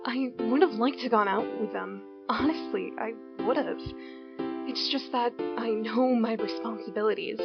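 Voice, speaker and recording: A woman playing a bored, tired teenage girl in an audio drama, speaking in a flat, resigned way. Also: a little high, vocal fry. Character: bored teenage girl Riley, weary and dutiful. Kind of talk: amateur audio drama acting. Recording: muffled lo-fi recording with loud guitar music behind.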